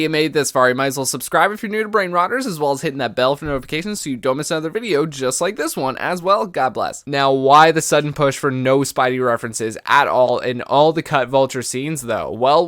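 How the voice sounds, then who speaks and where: A man's voice: on the high side, fairly bright tone, no room sound, clean studio sound. A man hosting an online video, delivering scripted movie news commentary with emphatic, rhetorical questions. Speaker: YouTube host.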